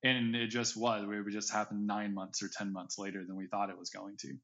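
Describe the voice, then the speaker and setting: A man's voice: fairly low pitch, level tone, brisk, vocal fry, pushed and bright. A man speaking as a podcast guest in casual, discursive interview chat, often hedging as he explains. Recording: slightly dull, lo-fi audio.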